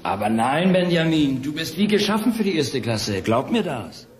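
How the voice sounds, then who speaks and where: An actor playing a friendly, patient school headmaster who talks indulgently to a child in an audio drama. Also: male voice, on the high side, sing-song, normal speed. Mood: reassuring. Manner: kindly, indulgent with a small child.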